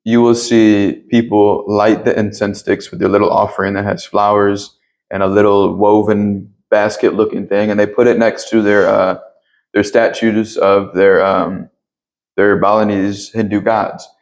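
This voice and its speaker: A man with a deep voice speaking earnestly as an interview guest about spiritual matters, often pausing to search for words. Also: little pitch movement. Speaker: interview guest, yoga teacher and Reiki practitioner. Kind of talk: reflective spiritual conversation.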